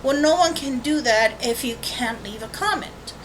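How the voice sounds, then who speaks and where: A high-pitched woman giving a casual, rambling vlog introduction as host of an art channel, recorded on a webcam microphone. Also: expressive, normal speed, low-quality recording. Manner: rambling, informal asides and self-corrections. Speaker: host of a watercolor painting channel. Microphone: built-in webcam microphone. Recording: faint noise on a webcam mic.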